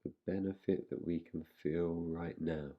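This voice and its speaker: A man guiding a relaxation meditation in an unhurried manner, leaving long pauses between phrases. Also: low-pitched, fairly even intonation, lo-fi sound. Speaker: meditation guide.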